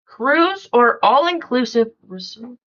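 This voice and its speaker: High bright girl voice reading out quiz questions, bit lo-fi. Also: expressive, takes it slowly.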